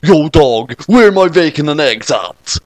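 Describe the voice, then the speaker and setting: A man's voice: a little high, animated, unhurried, no room sound. A man voicing a brash, street-talking teenage girl in a fan story, slangy and profane. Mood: indignant.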